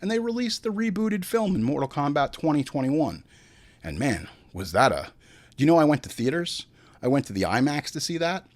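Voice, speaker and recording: Animated, irreverent male podcast host. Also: on the high side, brisk. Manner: crude, profane, irreverent; theatrical, acts out imagined dialogue. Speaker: host of a movie review podcast. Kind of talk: comedic film commentary mixing read facts with ranting asides.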